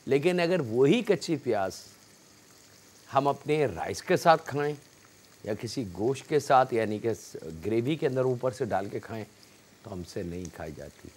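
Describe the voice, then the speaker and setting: A man's voice: medium pitch, expressive, measured pace, darkish tone, breathy edge. A man with a Pakistani Urdu accent hosting a cooking show, politely addressing viewers as he explains each step of the recipe.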